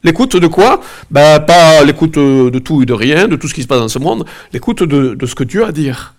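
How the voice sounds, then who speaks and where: A man's voice: fairly high pitch, animated, moderate pace. Man with a head cold preaching. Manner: conversational, hesitant, many fillers and asides. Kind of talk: informal sermon with prayer. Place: church gathering, also streamed online.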